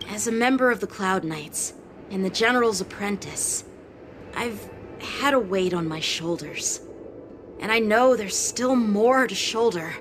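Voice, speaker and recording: A woman voicing an earnest, serious young boy sword apprentice in a game cutscene, speaking in a reflective, measured way. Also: mid-range voice, expressive, noisy background, natural voice. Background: game score music.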